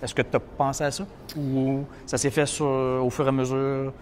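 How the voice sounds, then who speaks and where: A man with a Quebec French accent hosts a relaxed talk. His voice sits in a middle pitch, and he keeps jumping in to restate what his guest says. Faint background noise runs under it. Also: moderate pace. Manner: chimes in, repeats and sums up the guest's points. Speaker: host, coach. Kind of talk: casual interview chat.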